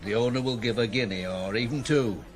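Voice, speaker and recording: A man's voice: mid-range voice, brisk, natural voice. A male actor playing a dry, fatherly farm foreman who cautions and teases in a radio drama. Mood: encouraging, advising. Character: wry, fatherly farm foreman mentoring a boy.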